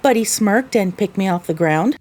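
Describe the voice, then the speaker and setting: A woman's voice: mid-range voice, lively intonation, normal speed, darkish tone, natural voice. A woman playing a young pony mare who narrates her own adventure in an audio drama. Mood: earnest, storytelling. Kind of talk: scripted first-person audio drama narration.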